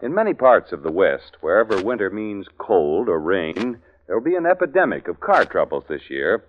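Animated 1940s male radio announcer. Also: fairly low pitch, normal speed. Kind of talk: 1940s radio commercial read, folksy. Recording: muffled, hissy old radio recording.

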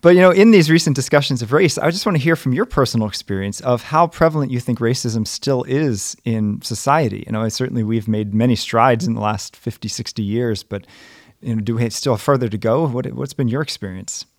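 A man's voice: mid-range voice, brisk, dry recording, clean studio sound. A man, a priest, hosting a podcast interview in a friendly, conversational way.

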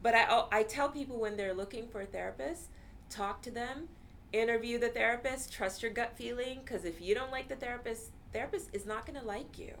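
A woman's voice: on the high side, fairly quick, a bit bright, a little hiss or hum. A woman therapist whose first language is Urdu, talking earnestly in a casual interview and often saying "you know.".

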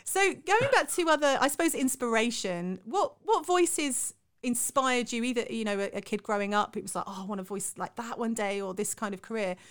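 A woman's voice: a little high, lively intonation, a little fast, few pauses. A British woman hosting a casual interview, chatty and affirming as she talks.

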